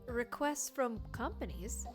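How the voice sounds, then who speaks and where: A woman's voice: a little high, expressive, faint music behind. A woman voicing a stern, formal young prosecutor. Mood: measured. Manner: formal, measured, coolly skeptical. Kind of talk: fan voice acting of a game.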